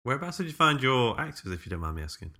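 A man's voice: mid-range voice, sing-song, very fast, pushed and bright. A man co-hosting a podcast in casual chat, hesitant and rambling as he puts his thoughts together. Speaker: podcast co-host.

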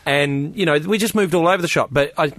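A man's voice: fairly high pitch, quick pace, fairly bright tone, no room sound. Kiwi male comic, easygoing anecdote teller, dead dry recording. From New Zealand. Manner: laid-back storytelling. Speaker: comedian guest. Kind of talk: casual chat.

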